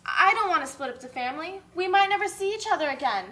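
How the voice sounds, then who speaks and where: A woman acting as an anxious, loving farm mother in a puppet show. The voice is high and bright, with a pleading delivery. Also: lively intonation, fast, exaggerated voice. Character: anxious loving mother from a poor farming family.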